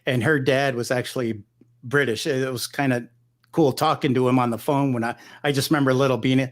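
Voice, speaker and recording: A middle-aged man from Michigan talks about his music as an interview guest. His voice sits at an ordinary middle pitch, and he rambles along with lots of restarts and "you know.". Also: steady tone, fairly quick. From Michigan, United States. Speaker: guest guitarist. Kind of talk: relaxed conversational interview.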